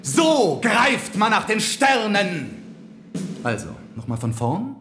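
An actor playing a flamboyant, exacting image coach in a radio drama, teaching grand gestures in a theatrical manner. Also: male voice, sits high, huge pitch swings, takes it slowly, full character voice. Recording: roomy echo, loud music underneath.

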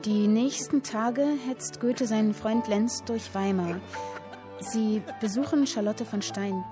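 An actress playing a woman who reads a literary tale aloud in a measured, expressive storytelling manner, in a radio drama. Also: medium pitch, over music, close to an everyday voice. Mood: measured, intent. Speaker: narrator reading a literary account. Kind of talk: dramatic literary narration in present tense.

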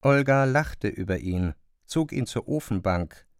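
A man narrating a literary radio play in measured, precisely phrased sentences. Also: fairly low pitch, animated, clean tone, no room sound, clean studio sound, soft background music.

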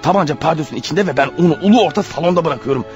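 A male actor as a guilty husband on the run in a radio drama. His high voice rushes along, stammering and snapping in nervous evasion, with music underneath. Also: lightly acted. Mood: muttering, tense. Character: panicky, guilt-ridden husband turned killer on the run. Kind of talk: radio play acting with muttered monologues.

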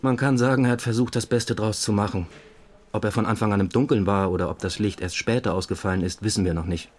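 A low-voiced male police investigator played by an actor in a radio drama lays out a case. He is measured and factual, with a streak of dry wit. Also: fairly even intonation, close to an everyday voice. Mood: dry reporting. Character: matter-of-fact male police investigator giving a case report. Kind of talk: German audio drama dialogue.

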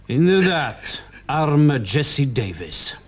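A man's voice: medium pitch, sing-song, extremely slow, exaggerated voice. An actor in a radio drama playing a sardonic male police interrogator who probes and mocks as he questions. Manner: probing, mocking.

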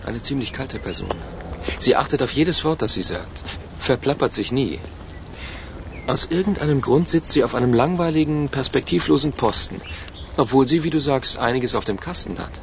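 A man's voice: medium pitch, expressive, normal speed, slight background noise, lightly acted. An actor in a radio play voicing a skeptical, appraising male accomplice with a dry, judging manner.

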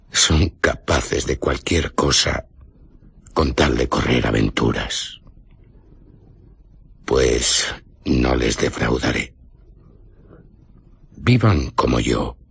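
A grave Victorian novelist speaks in a very low, badly husky voice. His delivery is theatrical and knowing, as in radio drama, recorded close and dry. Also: fairly bright tone, dry recording, heavily acted. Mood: knowing, amused. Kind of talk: Spanish radio drama acting.

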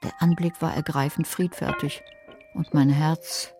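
Bitter older woman narrating radio crime play, deep for a woman, dark, very controlled and watchful. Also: steady tone, measured pace, soft background music. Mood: guarded, wry.

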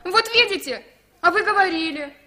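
Worried young girl, really high bright voice, earnest, old radio play. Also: expressive, normal speed, full character voice. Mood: anxious. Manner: earnest, protesting, distressed. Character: anxious, earnest, trusting young girl passenger. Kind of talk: 1960s Soviet radio play acting.

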